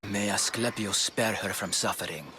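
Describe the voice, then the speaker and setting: An actor playing a devout, grave priest and healer in a video game, with a Greek accent and a measured, solemn delivery. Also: male voice, fairly low pitch, close to an everyday voice. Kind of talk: video game cutscene acting. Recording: music behind.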